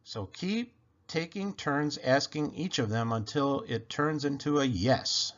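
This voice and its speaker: A man reading a children's picture book aloud in an expressive, playful way with animated emphasis. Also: a little high, unhurried. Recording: dull, lo-fi sound.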